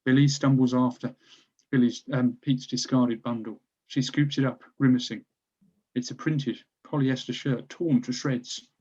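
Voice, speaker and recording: A man's voice: medium pitch, steady tone, unhurried, darkish tone, breathy edge, lo-fi sound. A man reading stage directions as scripted narration for a screenplay table read.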